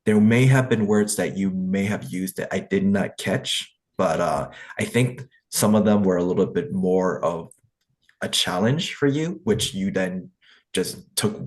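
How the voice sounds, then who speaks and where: Man hosting an online English meeting, a very low voice, easygoing, encouraging, full of ums. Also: a little slow. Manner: encouraging, rambling, many fillers. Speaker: English conversation meeting host. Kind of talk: casual feedback in an online meeting.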